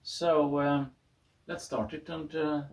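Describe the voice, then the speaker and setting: A man's voice: fairly high pitch, little pitch movement, slow pace, vocal fry, dull audio. A man presenting his own garage experiment in informal talk, thinking aloud hesitantly with a self-deprecating, amused touch. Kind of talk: informal explanatory video talk. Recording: voice far from the mic.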